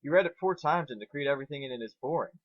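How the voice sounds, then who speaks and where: A man's voice: on the high side, lively intonation, very fast, dry recording, muffled recording. A man voicing a grouchy, bored, foul-mouthed female pony mage in a story reading, given to exasperated outbursts. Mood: exasperated, bossy.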